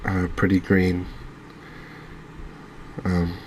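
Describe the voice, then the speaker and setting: English guy doing soft asmr game commentary, low flat voice, slow and hesitant, bit of background noise. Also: low-quality recording. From England. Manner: hushed, unhurried, hesitant. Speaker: gaming video host.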